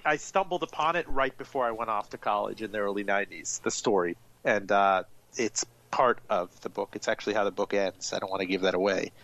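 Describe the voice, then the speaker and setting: A man's voice: on the low side, low-quality recording. A man in his forties, an author and journalist who grew up in Miami, telling his story fluently and with energy as an interview guest. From grew up in Miami, born in Iran. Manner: fluent, animated storytelling with restarts.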